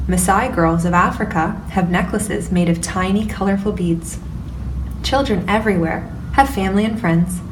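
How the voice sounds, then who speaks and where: A woman reading a children's picture book aloud in a slow, clear, gentle way, recorded from a distance with background noise. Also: medium pitch, roomy sound. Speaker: storyteller.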